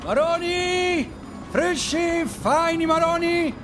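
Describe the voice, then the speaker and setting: A man's voice: extremely high pitch, extremely slow, music in the background, heavily acted. An actor with a Swiss German accent playing a young chestnut seller crying out his wares in a sing-song. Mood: hawking. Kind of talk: sing-song street hawking.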